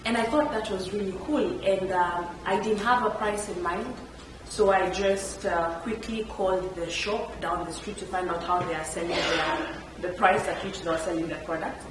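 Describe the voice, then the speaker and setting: A woman speaks on a panel, animated and quick to laugh as she tells her stories. Her voice sits a little low. She sounds far from the mic in a very echoey room, with clear background noise. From Kenya. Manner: animated, laughs often while telling stories. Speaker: entrepreneur on a panel. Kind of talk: storytelling at a panel talk. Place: event room.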